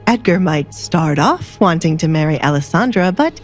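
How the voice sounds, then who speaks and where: A woman's voice: on the low side, lively intonation, moderate pace. Lady doing a scheming grumpy baron dad, scolding and calculating, music in the back. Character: scheming, irritable middle-aged father, a baron.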